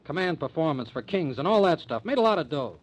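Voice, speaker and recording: An actor playing a protective, excitable booking agent boyfriend, slangy and emphatic, with an American accent in old-time radio drama style. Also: male voice, fairly high pitch, quick pace. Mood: protective, agitated.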